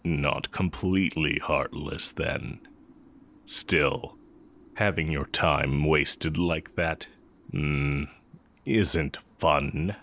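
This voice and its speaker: Wicked sorcery professor male actor: extremely low, slow, gravelly drawl, smug and sardonic, for romance audio roleplay. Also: fairly bright tone, exaggerated voice. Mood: sympathetic, fond. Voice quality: very deep, creaky. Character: evil male magic teacher, heretic, smug, amused, fond of former student.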